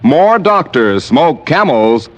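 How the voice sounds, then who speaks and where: A man's voice: on the high side, sing-song, takes it slowly. A man reading scripted advertising copy as a vintage radio commercial announcer.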